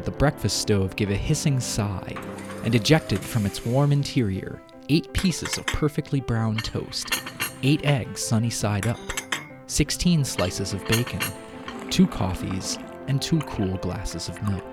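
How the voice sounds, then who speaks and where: A man's voice: fairly low pitch, expressive, measured pace, loud music behind the voice. A man speaking as a podcast host and narrator, presenting literature and audio drama.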